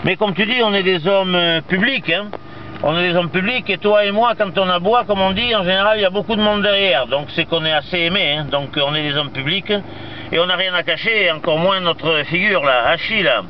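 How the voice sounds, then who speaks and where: A man's voice: high voice, a little fast. A man chatting casually as an amateur radio operator, talkative and full of radio jargon, over a radio transmission. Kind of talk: casual radio contact chat. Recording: muffled, noisy two-way radio transmission with vehicle noise.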